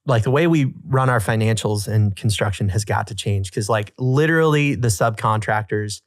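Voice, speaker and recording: A man's voice: fairly low pitch, normal speed, dry recording. Emphatic male podcast co-host, dry close sound. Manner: animated, emphatic, repeats phrases, mild slangy exclamations. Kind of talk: casual podcast banter and commentary.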